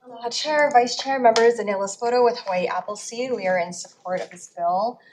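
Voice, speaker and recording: A woman's voice: a little high, fairly quick, a little mellow, slight background noise, low-quality recording. A woman speaking for an advocacy group at a legislative hearing, earnest, with many fillers. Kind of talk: formal public testimony.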